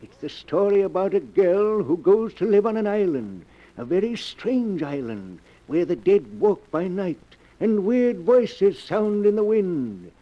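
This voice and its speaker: An actor playing an eerie, sardonic keeper of a book of tales, theatrical and ominous, in 1940s radio horror style. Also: male voice, sits high, big pitch swings, measured pace. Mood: eerie relish. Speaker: host of a mystery anthology. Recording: muffled old 1940s radio recording.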